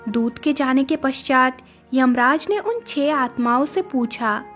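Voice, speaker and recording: A woman's voice: sits high, unhurried, a bit bright, faint music behind. A woman narrating a scripted moral story as a storyteller.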